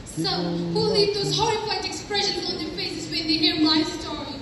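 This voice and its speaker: A woman's voice: very high-pitched, steady tone, moderate pace, vocal fry, crowd noise. A woman performing a stage reading as a hurt, ashamed young victim. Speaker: student teacher performing in a readers' theatre. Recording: very echoey hall, distant mic, noisy.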